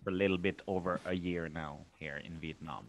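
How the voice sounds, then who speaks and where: A man in his thirties from Sweden, a teacher giving a relaxed self-introduction on a video call. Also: sits low, fairly quick. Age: 30s.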